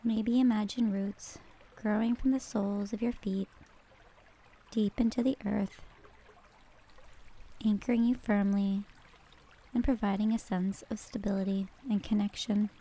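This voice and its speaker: A woman narrating a scripted guided meditation in a calm, gentle, measured manner. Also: medium pitch.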